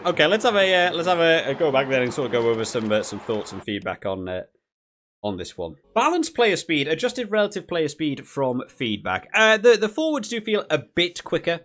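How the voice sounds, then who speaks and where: A man's voice: a little high, very animated, fairly quick, a bit bright. A man giving casual video game commentary and review, thinking aloud in a rambling way and often rephrasing himself. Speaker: gaming channel host reviewing a rugby video game.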